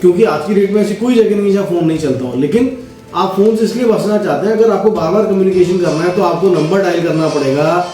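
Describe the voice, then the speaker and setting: A man with a soft, mellow tone explains a product step by step. He talks casually and instructively, straight to the viewer. The room is noticeably echoey, with faint music underneath. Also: sits high, fast. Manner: instructional, addresses viewers directly with frequent check-in tags. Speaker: product demonstrator. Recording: roomy echo, faint music.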